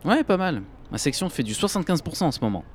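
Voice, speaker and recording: A man's voice: fairly high pitch, sing-song, fast talker, slightly rough. A man playing a cheerful young factory worker, chatting in loose slang in an audio drama. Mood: cheerful, boastful. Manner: casual, slangy.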